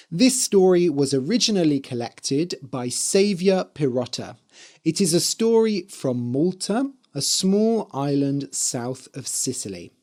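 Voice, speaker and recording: A podcast host and English teacher with a slightly hoarse, dry voice, explaining things clearly and carefully for language learners. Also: mid-range voice, a little mellow. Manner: careful enunciation, spelling words out letter by letter.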